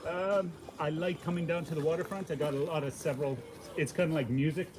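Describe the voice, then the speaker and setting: A man hosting a casual unscripted walking livestream outdoors, explaining things in a halting, informal way. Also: fairly high pitch, steady tone, a crowd in the background. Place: outdoors on a city waterfront. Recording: noisy outdoor city audio with traffic.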